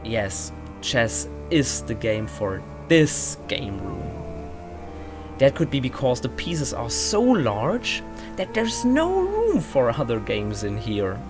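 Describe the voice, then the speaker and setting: A woman's voice: extremely low pitch, wildly swooping pitch, slow pace, full character voice. A woman playing a fussy, courteous steward of a magical mansion, giving a guided tour in a pompous, formal, theatrical audio drama manner. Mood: earnest, reassuring. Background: music.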